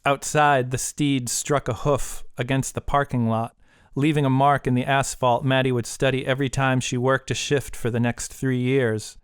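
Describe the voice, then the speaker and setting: A man's voice: medium pitch, level tone, moderate pace, smooth, no echo, studio quality. A man reading a short story as scripted audiobook narration.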